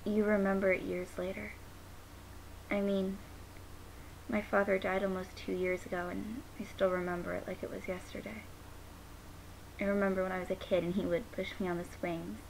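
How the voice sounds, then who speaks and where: A young woman playing a grieving, guilt-ridden daughter in a dramatic monologue, halting and sometimes nearly whispering. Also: medium pitch, vocal fry, a little mellow, close to an everyday voice, low-quality recording. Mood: wistful grief. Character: grieving, guilt-ridden daughter who lost her father. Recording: noticeable background hiss.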